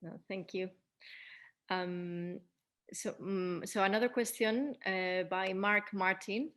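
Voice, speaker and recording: A woman moderating a post-show theatre Q&A as host, speaking in halting, repetitive phrases. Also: medium pitch, fairly even intonation, a bit bright.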